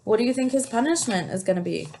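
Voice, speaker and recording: A woman reading a picture book aloud in an expressive storytelling style, stopping to put questions to young listeners and explain things. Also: fairly high pitch, fast, gravelly, a little hiss or hum.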